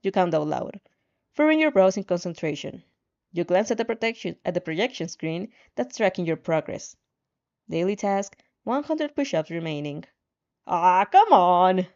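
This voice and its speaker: A woman reading a story aloud as an amateur narrator, expressive and sometimes stumbling over words. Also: on the low side, normal speed, highs cut off. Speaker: fan fiction reader.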